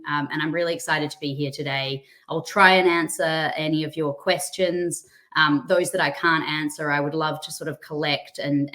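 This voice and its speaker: A woman's voice: sits low, a bit bright. An Australian woman giving a friendly, chatty presentation as a guest speaker, with a self-deprecating touch. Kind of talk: presentation on a webinar.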